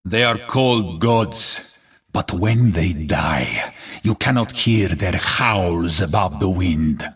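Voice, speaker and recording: An actor playing a grave, proud, battle-hardened warrior in a video game, solemn and declamatory, with a gravelly, husky voice. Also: male voice, low-pitched, animated, takes it slowly, fairly bright tone, recorded from a distance, heavily acted. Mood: solemn resolve. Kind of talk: epic video game voice acting.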